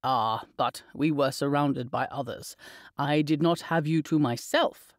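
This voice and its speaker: A woman reading an audiobook, voicing a young gentleman husband with a low, dry, playfully teasing manner. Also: measured pace, dry recording. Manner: low, drawling, wry teasing.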